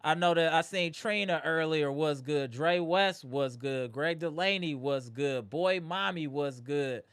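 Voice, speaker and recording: Bright-voiced man hosting a sports stream. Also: fairly high pitch, a little slow, smooth, no echo. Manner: animated, shouting out chat viewers. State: voice strained from yelling. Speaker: livestream host. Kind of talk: live postgame sports reaction.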